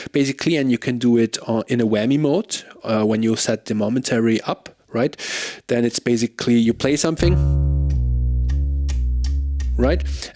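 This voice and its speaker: A man reviewing bass pedals in a casual demo, pausing with fillers and often asking "right?". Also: darkish tone, dry recording. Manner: hesitant, many fillers and tag questions. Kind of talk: casual demo talk between playing. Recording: bass playing behind voice. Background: bass guitar music.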